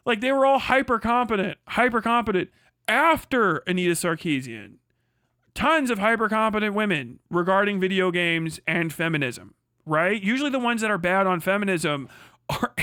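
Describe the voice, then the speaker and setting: A man's voice: sits high, fairly bright tone, dry recording, studio quality. Profane young man rambling on a livestream. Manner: rambling and profane, with frequent restarts and laughter. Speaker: livestream host. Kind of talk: casual livestream commentary reading chat.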